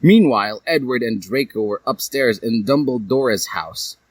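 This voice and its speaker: A man's voice: medium pitch, animated, a little slow, breathy edge, no room sound. A man narrating fan fiction as a comic dramatic reading, with a theatrical, mock-serious delivery.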